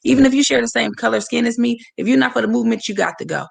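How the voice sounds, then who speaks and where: A woman from San Francisco with a hoarse voice, a boxer speaking candidly and passionately as a guest on a livestreamed interview over a video call. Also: on the high side, racing. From San Francisco, USA. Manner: candid, passionate, talks straight to viewers.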